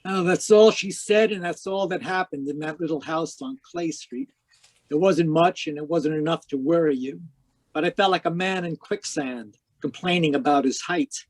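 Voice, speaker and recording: An actor playing a cynical, wry private eye in terse, slangy 1940s radio detective style. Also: male voice, on the high side, normal speed, natural voice. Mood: wry, world-weary. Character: cynical wisecracking male hardboiled private eye.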